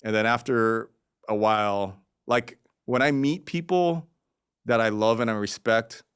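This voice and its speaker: Low-voiced man telling stories, rambling. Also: a little slow, fairly bright tone, smooth. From Los Angeles, Koreatown. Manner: profane, rambling, with frequent restarts; acts out quoted voices. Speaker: podcast guest. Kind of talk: casual storytelling.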